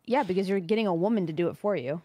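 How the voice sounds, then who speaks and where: A woman's voice: on the low side, very fast, mellow, no room sound. A woman co-hosting a stream, chatting casually, sarcastic and often laughing. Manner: sarcastic, laughing, teasing back. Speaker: co-host on stream.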